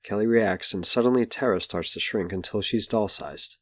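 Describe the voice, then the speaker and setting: A man's voice: on the low side, moderate pace, lightly acted. A man narrating a scripted superhero audio drama. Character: narrator of a superhero adventure story.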